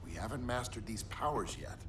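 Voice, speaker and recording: An actor playing a calm mentor hero who talks in a measured, reasoning way. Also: male voice, lowish voice, background noise, natural voice. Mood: reasoned.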